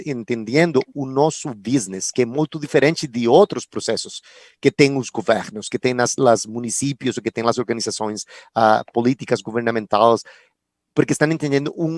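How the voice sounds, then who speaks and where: A man with a Spanish accent talks haltingly on a video-call panel, restarting phrases and hunting for the right word. His voice is close and dry, with no room sound. His pitch sits in the ordinary middle. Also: dry recording. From Costa Rica. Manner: halting, searching for words, many restarts. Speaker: film commission head. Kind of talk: panel talk.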